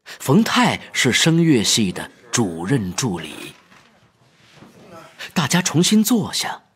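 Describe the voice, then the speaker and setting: Male storyteller with very animated delivery. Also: medium pitch, slow. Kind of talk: scripted narration of a horror story.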